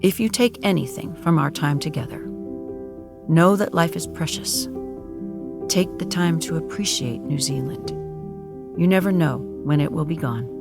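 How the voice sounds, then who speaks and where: A man playing a solemn administrator who narrates absurd events in a deadpan, grandiose storytelling style. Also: a little high, moderate pace, gravelly. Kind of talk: scripted-sounding storytelling narration. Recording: prominent music behind the voice.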